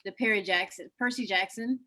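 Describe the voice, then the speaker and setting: A woman's voice: mid-range voice, a little fast, bright, forceful tone. A woman hosting a casual video chat with a fellow author, friendly and attentive. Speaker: host.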